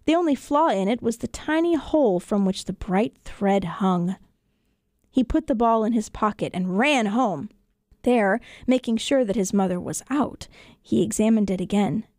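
A woman storyteller reading children's tales in an expressive audiobook narration style. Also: mid-range voice, normal speed, dry recording, studio quality. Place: radio studio.

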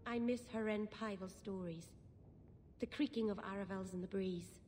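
An actress playing a naive, scatterbrained young elf mage in a fantasy game, chatty and earnest. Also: female voice, unhurried, a little mellow, lightly acted. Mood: wistful, earnest. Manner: wide-eyed, chatty, earnest. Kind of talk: fantasy video game dialogue. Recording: game audio with long reverb, background rumble.

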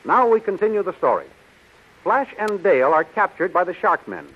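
A man's voice: sits high, very animated, moderate pace. A man with an American accent narrating a 1930s radio serial in a dramatic, declamatory way. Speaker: announcer and narrator. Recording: muffled, hissy 1930s radio recording.

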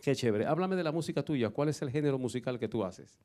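Upbeat man hosting live TV, mellow voice. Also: mid-range voice, lively intonation, quick pace. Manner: upbeat, announcer-like. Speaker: TV host. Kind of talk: live cable TV interview and stage introduction. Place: small TV studio. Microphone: handheld mic.

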